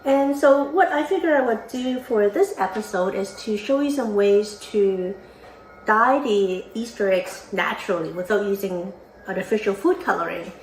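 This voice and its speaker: A woman's voice: mid-range voice, moderate pace. A woman from Hong Kong co-hosting a health show, giving a casual how-to demonstration in a chatty manner with small slips. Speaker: podcast co-host. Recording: roomy echo, faint room noise.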